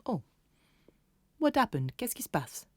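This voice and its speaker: An actress playing a patient, kindly household woman in a children's audio drama, gentle and coaxing. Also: medium pitch, very animated, a little slow, no room sound, clean studio sound. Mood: gentle concern. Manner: gentle, coaxing, sprinkles in French.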